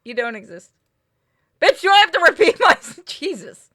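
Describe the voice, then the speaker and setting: A woman's voice: very high voice, sing-song, moderate pace, fairly bright tone. A woman giving casual, blunt commentary as she plays through a game. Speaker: game streamer. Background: game music.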